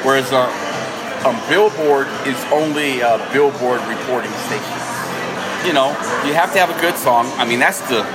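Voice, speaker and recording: A man's voice: mid-range voice, normal speed. A man, a musician being interviewed, speaking earnestly and repeating his key points. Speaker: musician guest. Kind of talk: casual interview. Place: busy restaurant. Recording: noisy restaurant with loud music.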